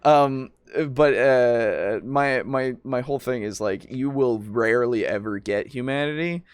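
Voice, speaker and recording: A man's voice: mid-range voice, a little slow, clean tone, no echo. A man talking casually as a podcast co-host, rambling and thinking aloud with some hesitation. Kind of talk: casual discussion.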